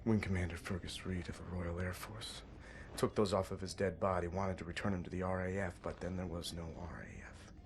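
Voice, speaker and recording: An actor playing a guilt-ridden young American resistance soldier in a video game, earnest and bitter, with folksy mild oaths and halting delivery. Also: male voice, deep voice, level tone, background noise. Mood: subdued, bitter. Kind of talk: dramatic video game acting.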